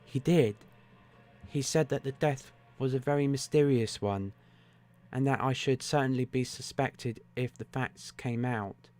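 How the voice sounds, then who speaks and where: A man's voice: medium pitch, a little slow, darkish tone, close to an everyday voice. A man reading aloud, voicing a desperate, betrayed Victorian woman with raised, pleading outbursts. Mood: bitter.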